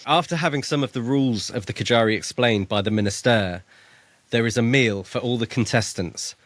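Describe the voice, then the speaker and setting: A man's voice: mid-range voice, brisk, no room sound. A man co-hosting a book podcast, talking through a story in a casual way.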